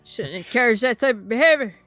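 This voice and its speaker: A woman's voice: on the high side, expressive, quick pace, a bit bright, no room sound, faint music behind. A woman guesting on a live reaction stream, blunt and sarcastic, swearing freely. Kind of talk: casual reaction chat.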